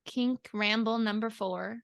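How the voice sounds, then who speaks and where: A woman's voice: a little high, steady tone, unhurried, creaky, no room sound, clean studio sound. A woman co-hosting a podcast in an informal, conversational way. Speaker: podcast co-host. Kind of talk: casual chat.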